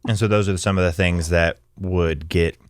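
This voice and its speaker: A man's voice: sits low, fairly even intonation, normal speed, dry recording, studio quality. A man hosting a podcast, talking in a loose, easygoing way with frequent ums and likes. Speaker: podcast host. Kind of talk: casual podcast interview.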